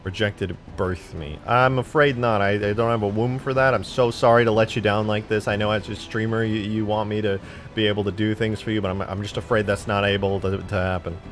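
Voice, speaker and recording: A man's voice: fairly low pitch, steady tone, brisk, soft background music. A man in his twenties chatting casually on a live stream while modding a game, rambling, joking and swearing freely. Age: 20s.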